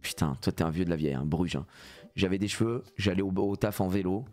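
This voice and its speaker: A man's voice: deep voice, quick pace. A man in his thirties with a cold, streaming a game live and joking loosely with chat, often swearing. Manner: animated, jokey, swears a lot, reads chat aloud. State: congested with a cold. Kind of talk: live gameplay stream chatting with viewers.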